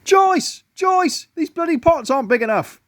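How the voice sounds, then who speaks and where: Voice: mid-range voice, big pitch swings, brisk, dry recording, studio quality, voice pushed up. A storyteller voicing Mister Hindle, a grumpy middle-aged husband who grumbles and scolds in exasperation. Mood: exasperated.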